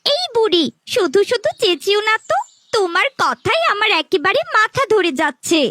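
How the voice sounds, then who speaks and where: A woman's voice: very high-pitched, huge pitch swings, normal speed, fairly bright tone, no echo, clean studio sound, full character voice. A woman voicing a spoiled, haughty little girl in a cartoon fairy tale, whiny, rude and pouting. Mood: irritated. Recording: faint background music.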